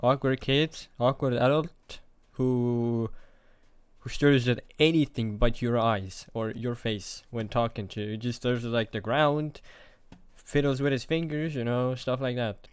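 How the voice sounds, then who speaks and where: Late-teens man speaking in a confessional vlog. His voice is smooth and clear, recorded dry with no room sound. He talks haltingly and runs himself down. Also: mid-range voice, steady tone, no echo. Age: young adult. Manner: hesitant, halting, self-deprecating. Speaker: vlogger. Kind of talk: confessional monologue to camera.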